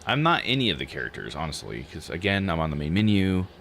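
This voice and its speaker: A man's voice: sits low, big pitch swings, fast talker, vocal fry, fairly bright tone, a little hiss or hum. A man giving casual live game commentary, thinking aloud in a musing, self-questioning way.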